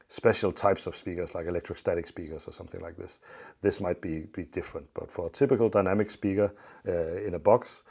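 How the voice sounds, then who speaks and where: A man with a low, mellow voice that is husky at the edges. He explains technical material off the cuff as an expert, measured and matter-of-fact, pausing to find his words. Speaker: loudspeaker company expert.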